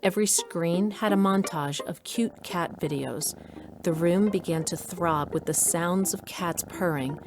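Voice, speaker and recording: A woman's voice: lowish voice, measured pace, music in the background. A woman playing a resolute, stealthy mother and doctor who narrates a scripted audio drama. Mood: measured suspense. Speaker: narrator and lead actor.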